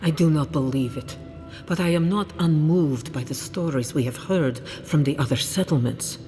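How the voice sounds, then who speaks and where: An actor playing a proud, stern and suspicious council leader in a fantasy game, with a formal, challenging, imperious manner. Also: male voice, a little high, moderate pace, mellow, soft background music, close to an everyday voice. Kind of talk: dramatic fantasy game acting. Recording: long hall reverb.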